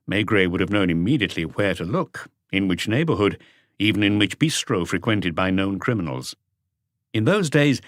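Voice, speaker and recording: A man reading scripted literary narration in a measured, deliberate way, as an audiobook narrator. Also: lowish voice, dry recording, clean studio sound.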